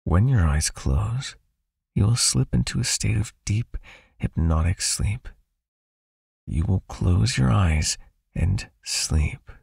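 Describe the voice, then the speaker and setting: Guy doing a creaky low vampire hypnotist for asmr, hushed n coaxing, possessive but caring, really raspy. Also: animated, takes it slowly, dry recording, studio quality, full character voice. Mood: soothing, commanding. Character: possessive soothing male vampire hypnotist, controlling yet caring.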